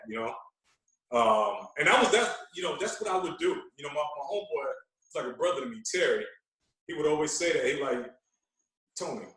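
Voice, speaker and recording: A man with a medium-pitched voice chats casually as a guest on a podcast. He tells stories in an animated way, doubling back on his words. The sound is very echoey and a bit distant from the microphone. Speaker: podcast guest, veteran radio host. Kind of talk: casual chat, storytelling. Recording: echoey room, distant from mic.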